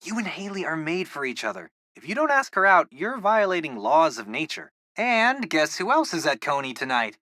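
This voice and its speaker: A man's voice: fairly high pitch, sing-song, normal speed, few pauses, sharp tone, no echo, clean studio sound. A young man playing a geeky, upbeat teenage boy in a video game, a loyal best friend with a casual, wry, chatty way of talking. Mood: teasing. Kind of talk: video game companion dialogue.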